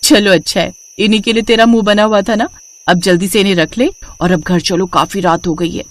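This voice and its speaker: A woman playing a practical, caring village mother, coaxing and homely, in a Hindi animated moral story. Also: medium pitch, fairly quick, natural voice. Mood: brisk, caring.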